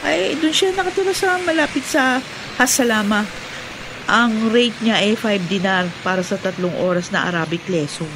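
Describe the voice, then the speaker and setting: A woman with a Filipino accent playing a helpful, chatty housemaid friend in a radio drama, with an animated conversational delivery. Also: mid-range voice, moderate pace, noisy background, lightly acted. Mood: chatty, upbeat. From Philippines. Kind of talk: classic radio drama acting.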